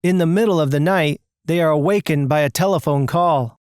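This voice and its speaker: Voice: mid-range voice, fairly quick, a little mellow, clean tone, dry recording, clean studio sound. A narrator reading a scripted children's mystery story.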